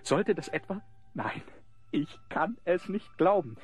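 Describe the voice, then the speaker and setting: A man's voice: high-pitched, animated, takes it slowly. An actor playing a cunning, self-satisfied male rogue in a German audio drama, speaking in florid theatrical style. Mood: amazed. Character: gloating, cunning rogue, transformed back into a man.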